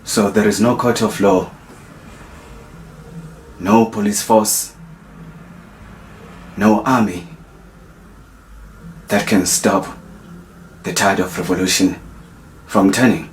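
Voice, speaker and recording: A man acting a defiant, resolute young freedom fighter on trial in a dramatic monologue, speaking deliberately with long pauses. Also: fairly low pitch, steady tone, a little hiss or hum. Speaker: actor.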